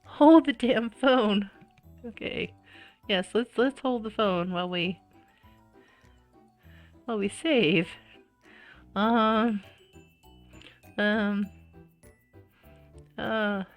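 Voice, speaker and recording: A woman streaming a visual novel playthrough reads and reacts aloud. She is expressive and exclaims freely, at an unhurried pace. Faint music plays behind her voice. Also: medium pitch. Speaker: gaming streamer.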